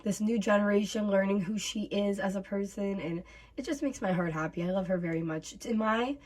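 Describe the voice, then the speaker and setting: A woman in her twenties hosting a reaction vlog, voice raspy from bronchitis, chatting casually with many fillers. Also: medium pitch, a little fast, darkish tone. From North America. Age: 20s. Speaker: YouTube host.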